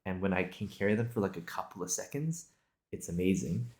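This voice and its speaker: A young man with a deep voice and a lot of vocal fry talks casually to his podcast audience. He improvises as he goes, hesitating and circling back as he thinks out loud. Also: lively intonation, brisk. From Singapore and Australia. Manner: rambling, hesitant, thinking aloud. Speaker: video podcast host. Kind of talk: casual improvised solo chat.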